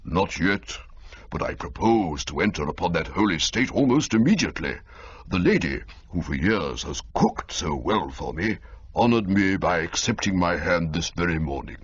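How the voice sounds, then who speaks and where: A man voicing a pompous elderly gentleman who talks in a slow, formal, stately way. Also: low voice, lively intonation, a little hiss or hum. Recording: slightly muffled lo-fi audio.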